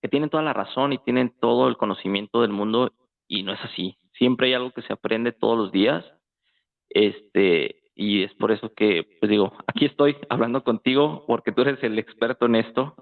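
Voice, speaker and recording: A man's voice: medium pitch, moderate pace, gravelly. A man with a Mexican accent in casual, conversational discussion, talking colloquially and checking in with tag questions. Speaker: guest in a political discussion. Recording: tinny phone-like, roomy echo.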